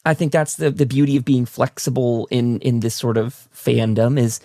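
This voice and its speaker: A man with a mid-pitched voice and a gravelly edge hosts a casual interview. He is enthusiastic and generous toward his guest, and the sound is close and dry. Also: level tone, normal speed, warm tone, dry recording. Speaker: talk show host interviewing a guest.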